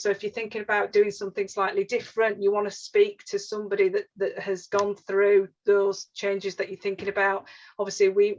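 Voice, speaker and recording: A woman with a Yorkshire accent, a regional advisor presenting a webinar, often repeating words and using fillers. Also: medium pitch. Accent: Yorkshire English. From northern England. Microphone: video call. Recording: slightly dull lo-fi webinar audio.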